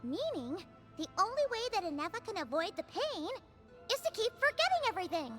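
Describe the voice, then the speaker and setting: A woman voicing a tiny, excitable, childlike fairy companion in a video game, exclaiming and chattering about herself. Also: very high voice, a little fast, soft background music, exaggerated voice. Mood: thinking aloud. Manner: refers to herself in third person, exclamatory. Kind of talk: animated video game voice acting.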